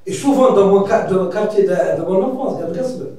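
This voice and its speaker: Mauritanian guy, mellow and a bit high, thinks out loud with lots of restarts, far from the mic in a booming room. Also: expressive, normal speed, heavy reverb. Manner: reflective, hesitant, many restarts and fillers. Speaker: writer, debate guest. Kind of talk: debate discussion.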